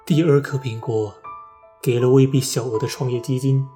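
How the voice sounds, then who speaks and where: A man's voice: mid-range voice, fairly even intonation, moderate pace, mellow, lightly acted. A man playing an ambitious, desperate businessman making a confession in a radio drama. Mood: solemn confession. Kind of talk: radio drama monologue and acting. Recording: music under the voice. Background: music.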